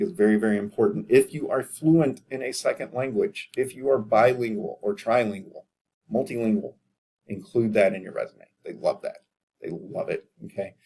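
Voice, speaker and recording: Male lecturer, 30s, low gravelly voice, plain-spoken, keeps asking the class "okay?". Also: a little mellow. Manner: casual, direct, repeats "okay?" to check in. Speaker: accounting lecturer.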